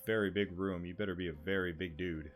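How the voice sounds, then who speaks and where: A man commenting casually as he plays a video game. His voice sits on the low side and his remarks are offhand and wry. Game music runs clearly behind him. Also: fairly even intonation, a little fast.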